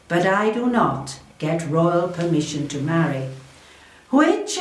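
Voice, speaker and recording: Woman as commanding Tudor noblewoman for a historical monologue: stately, unhurried, formal, with expressive sweeping intonation. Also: medium pitch. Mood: dramatic, proud. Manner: formal, deliberate, theatrical delivery. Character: proud, shrewd Elizabethan noblewoman, wealthy and commanding. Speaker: volunteer historical re-enactor. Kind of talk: scripted first-person historical monologue. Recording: echoey room, distant microphone.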